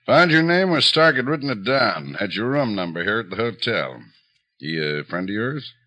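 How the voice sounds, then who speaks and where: Folksy, swooping small-town police chief. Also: male voice, fairly low pitch, very animated, normal speed, bright, forceful tone, full character voice. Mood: folksy, probing. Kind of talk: 1950s radio drama acting.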